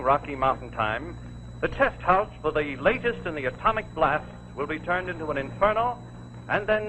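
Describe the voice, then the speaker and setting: A man's voice: a little high, pushed and bright. A man delivering solemn, portentous scripted narration in 1940s dramatic broadcast style. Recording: faintly noisy vintage broadcast.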